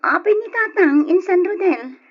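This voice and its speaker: An actress with an Ilocano accent playing an affectionate young daughter in a radio drama. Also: female voice, very high-pitched, expressive, moderate pace. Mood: anguished grief. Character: affectionate young woman, daughter coming home, later grieving. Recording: dull lo-fi radio recording, music behind.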